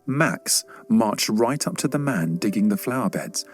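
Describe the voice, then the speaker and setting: A male audiobook narrator reads a children's story in a fairly low voice. The delivery is scripted storytelling, with faint music underneath. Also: expressive, measured pace.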